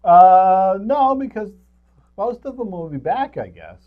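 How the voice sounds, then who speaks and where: A middle-aged man co-hosting a movie review show, chatting casually about films in halting, rambling explanations. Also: sits high, expressive, narrow, tinny audio.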